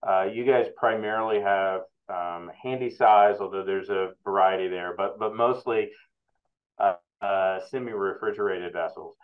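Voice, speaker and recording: A man with a very low voice hosts a webinar interview, pausing often and filling gaps with ums and uhs. The sound is thin and phone-like, as if from a video call. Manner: halting, many fillers, relaxed. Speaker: interviewer, research analyst. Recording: tinny phone-like line.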